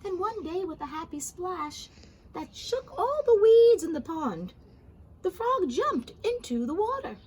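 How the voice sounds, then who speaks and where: A woman reading a children's story aloud expressively, pausing for gentle asides to young listeners. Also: very high voice, big pitch swings. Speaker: storybook reader.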